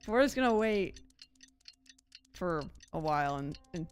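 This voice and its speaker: A woman streaming a game, her pitch very animated while her pace stays slow and drawn out. She comments casually in a playful, slangy, giggly way, with game music underneath.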